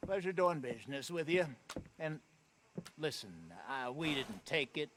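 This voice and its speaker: An actor playing a genial, sly older con artist in a western video game, with a polite, theatrical, teasing way of smooth-talking. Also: male voice, on the high side, a little slow, lightly acted. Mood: sly, bargaining. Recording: clear wagon and hoof noise. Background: horse-drawn vehicle, hoofbeats.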